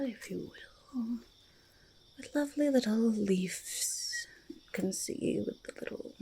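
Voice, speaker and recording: Quirky, stubborn goblin treasure-lover, played by a woman, for ASMR roleplay; very husky whisper, unhurried and halting. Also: mid-range voice, darkish tone, exaggerated voice. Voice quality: breathy, husky, creaky whisper. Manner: halting, childlike third-person speech, easily argumentative. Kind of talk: soft-spoken ASMR roleplay, mostly whispered.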